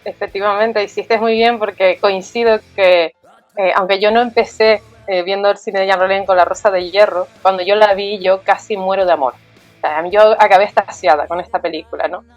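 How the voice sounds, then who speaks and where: A woman's voice: medium pitch, steady tone, moderate pace, dry recording, dull audio, soft background music. A woman guest talking about film, thoughtful and full of fillers and tag questions. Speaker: guest, art historian. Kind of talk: conversational film talk.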